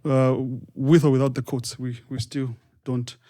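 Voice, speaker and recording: A man's voice: medium pitch, little pitch movement, measured pace, dark tone. A man with a Malawian accent co-hosting a podcast, chatty and self-deprecating, switching between English and a local language. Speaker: podcast co-host. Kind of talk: casual podcast chat.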